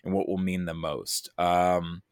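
A man's voice: deep voice, level tone, unhurried, clean tone, no echo, clean studio sound. A man chatting casually as a podcast guest, rambling and self-deprecating, sounding a bit tired. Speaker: podcast guest, writer and creative producer. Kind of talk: casual chat.

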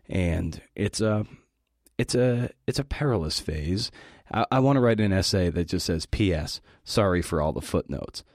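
Low-voiced man giving a dry-recorded talk. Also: darkish tone, no room sound. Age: middle-aged. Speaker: podcast host giving a talk. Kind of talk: scripted talk with casual asides.

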